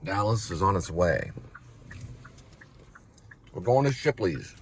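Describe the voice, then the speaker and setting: A man's voice: sits low, very animated, takes it slowly, a little hiss or hum. A man from Texas chatting casually as a vlogger, musing in a dry, wandering way. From Texas, United States. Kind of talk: casual rambling vlog chat.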